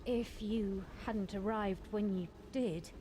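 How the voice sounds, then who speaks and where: A woman playing a gentle, grateful young nun rescued from captivity in a fantasy video game, speaking with earnest politeness. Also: mid-range voice, steady tone, takes it slowly, a little hiss or hum, natural voice. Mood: sombre, earnest. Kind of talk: scripted fantasy game acting.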